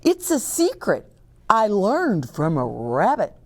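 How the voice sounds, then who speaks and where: A woman voicing a cheerful, helpful magic bear in a read-aloud storybook, talking in a breezy, excited way. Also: mid-range voice, slow pace, full character voice.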